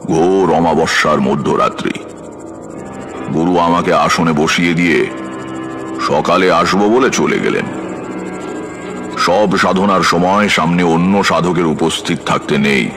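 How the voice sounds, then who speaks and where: A man playing a tantric practitioner who narrates a horror audio story in a measured, suspenseful way. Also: deep voice, music in the background. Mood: measured, eerie. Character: male tantric practitioner recounting his eerie past rites.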